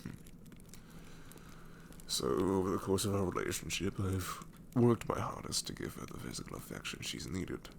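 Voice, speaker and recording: A man's voice: sits low, big pitch swings, normal speed, creaky, noisy background, heavily acted. A man voicing a sassy, married male shadow demon in an ASMR roleplay, talking sarcastically and drawling, often dropping into whispers. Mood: musing.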